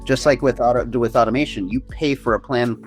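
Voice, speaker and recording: A man with a mid-range voice explains ad strategy in a relaxed, conversational way. Faint music plays softly behind him. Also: mid-range voice, brisk. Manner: relaxed, explanatory, uses y'all. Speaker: paid traffic consultant. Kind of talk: casual business conversation.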